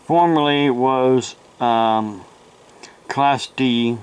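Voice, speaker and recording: Slow, halting American man, informal vlog. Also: medium pitch, smooth, poor audio. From United States. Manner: halting, rambling delivery with long pauses. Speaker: YouTube radio hobby host. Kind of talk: unscripted, informal vlog talk.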